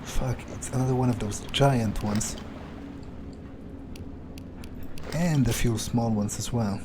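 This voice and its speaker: A man playing a wary, foul-mouthed vampire in an improvised video game roleplay, thinking aloud in halting, muttered asides. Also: medium pitch, steady tone, very noisy, lightly acted. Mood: wary, musing. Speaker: gamer in an in-character playthrough.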